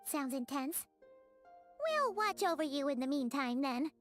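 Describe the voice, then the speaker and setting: A small, childlike fairy companion from a video game. The voice sits very high and sounds cheery, with faint music behind it. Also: female voice, animated, measured pace. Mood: bright concern.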